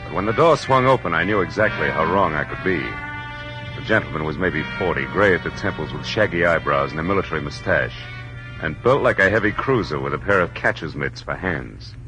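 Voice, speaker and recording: A man's voice: low voice, lively intonation, fairly quick, rough recording. A man acting a tough, sardonic American private detective in 1940s radio drama style, with clipped hard-boiled delivery. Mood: wry narration. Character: hard-boiled wisecracking private detective, narrating his own case. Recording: old radio recording with music.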